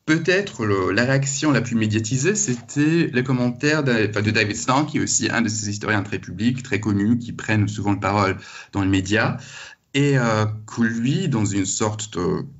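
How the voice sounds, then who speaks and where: A man giving academic interview answers, hesitantly rephrasing as he goes, on slightly dull audio. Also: lowish voice. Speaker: university lecturer interviewee.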